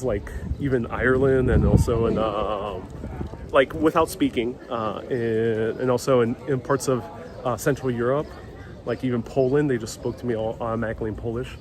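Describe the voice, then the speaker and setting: A man from New York of Puerto Rican heritage, chatting loosely as a live-stream travel host outdoors, with many restarts. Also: medium pitch. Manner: rambling, conversational, many restarts. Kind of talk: casual live-stream chat. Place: outdoors on a city street. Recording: street noise and traffic behind.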